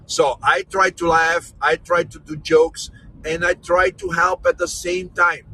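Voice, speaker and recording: Jokey, bright-voiced tour guide man. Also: high-pitched, a little slow, poor audio. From Brazil, raised in Austria. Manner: jokey, energetic, non-native English. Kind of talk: live virtual tour, casual chat with viewers. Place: in a moving vehicle. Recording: vehicle noise in background.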